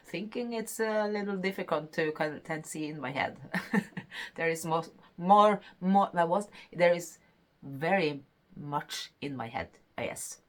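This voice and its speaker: Slow, hesitant Norwegian woman in her fifties. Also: fairly low pitch, animated. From Norway. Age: 50s. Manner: hesitant, many fillers, laughs between phrases. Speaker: podcast host. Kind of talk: casual chat.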